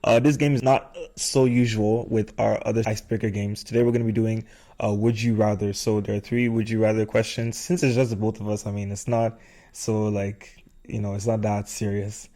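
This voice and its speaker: A man's voice: lowish voice, little pitch movement, moderate pace, dark tone. Casual man hosting a podcast. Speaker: podcast host. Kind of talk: casual chat.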